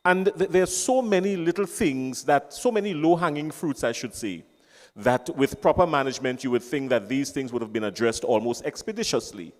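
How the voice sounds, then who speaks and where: A man's voice: mid-range voice, lively intonation, brisk. A man asking a panel a long, earnest question at a town hall meeting, driving his points home with repetition. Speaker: audience member asking a question. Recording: reverberant hall.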